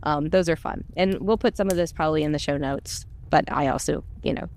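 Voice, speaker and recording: A woman's voice: deep voice, fairly quick, darkish tone. A woman chatting casually as a podcast voice actor, informal and self-effacing, rambling a little as she goes. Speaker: voice actor on an audio drama podcast. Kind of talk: casual chat.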